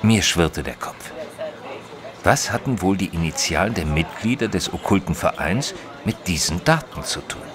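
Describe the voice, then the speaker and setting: A man playing a thoughtful detective's companion who narrates a mystery audio drama in a measured, literary way. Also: low voice, animated, a crowd in the background. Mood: pensive. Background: music under the voice.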